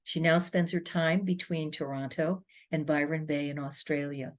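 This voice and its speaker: A woman with a low voice hosting an author panel. She asks questions and reads out guest introductions in a measured, friendly manner. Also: fairly even intonation. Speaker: panel moderator.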